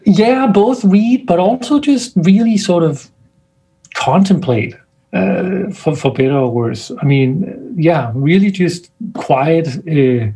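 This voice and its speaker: Guest man from Denmark, husky voice, thoughtful and searching for words, video call. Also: sits high, slow pace, warm tone. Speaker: guest scientist. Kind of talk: casual interview talk.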